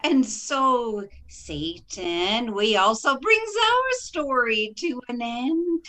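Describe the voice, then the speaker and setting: A woman's voice: a little high, bright, forceful tone, heavily acted, rough recording. A woman playing a gleefully ghoulish old crone storyteller, drawling with cackling relish like an old radio horror host.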